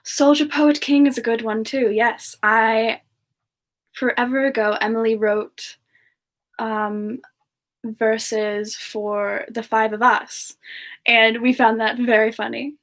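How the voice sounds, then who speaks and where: A woman voicing a gentle, friendly young siren demon in a semi-ASMR livestream chat, speaking softly and hesitantly with polite warmth toward listeners. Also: a little high, flat delivery, slow pace. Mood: gentle, cheerful. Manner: soft-spoken, hesitant, polite, breaking into murmurs. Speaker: livestream host in character.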